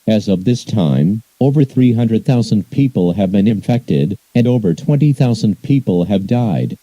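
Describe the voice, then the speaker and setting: A man reading a formal scripted emergency bulletin as an alert announcer, in an impersonal, measured way. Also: fairly low pitch, animated, warm tone, dry recording, rough recording.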